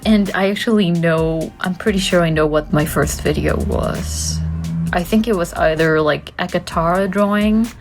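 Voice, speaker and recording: A woman, chatting casually to camera as a vlogger, wandering off on tangents with frequent laughs and casual swearing. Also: fairly low pitch. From Costa Rica. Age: 20s. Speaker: art student and content creator. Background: faint background music.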